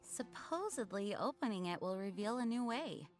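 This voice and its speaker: A woman's voice: medium pitch, expressive, normal speed, close to an everyday voice. A woman voicing a dutiful, caring young knight commander in an anime game, speaking formally and earnestly. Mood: gently stern. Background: music.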